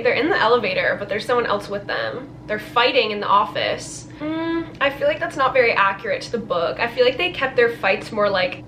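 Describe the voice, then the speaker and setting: A woman's voice: medium pitch, fairly bright tone, a little hiss or hum. A young woman chatting candidly and gushingly in slangy language, reacting to a film trailer as a vlogger in a bedroom. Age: young adult. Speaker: book vlogger. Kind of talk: casual trailer reaction vlog. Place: small bedroom, indoors.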